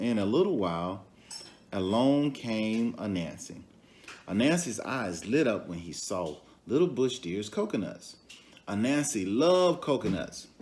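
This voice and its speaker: A man reading a children's story aloud in an expressive way, with asides to his listeners. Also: mid-range voice. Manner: expressive, pauses to question and comment to the young audience. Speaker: storybook reader.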